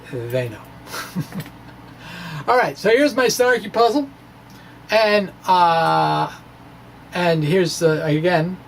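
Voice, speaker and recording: A middle-aged American man giving an informal, halting explanation to camera, with animated, self-deprecating asides. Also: on the high side, slight background noise. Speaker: physics video blogger. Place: small indoor room with bookshelves. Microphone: microphone on stand in front of chest.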